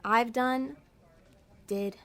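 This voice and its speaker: A woman playing a bossy, cynical schemer with a soft spot in an audio drama, speaking in blunt, terse lines. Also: fairly high pitch, steady tone, extremely slow, smooth. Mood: resolute, weary. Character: bossy damned soul, cynical schemer turned softhearted.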